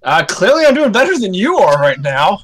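A man co-hosting a casual sports podcast, opinionated and animated when he rants about the game. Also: high voice, brisk. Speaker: podcast co-host, youth coach.

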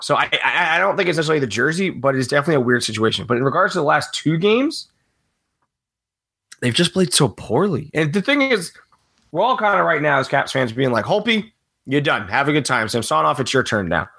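A man with a cold speaks in a slightly raspy voice on a casual sports podcast. His pitch swings widely as he rambles through his opinions, and he breaks into laughter often. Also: very animated, brisk, bright, forceful tone. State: has a cold, a little raspy. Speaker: regular podcast co-host.

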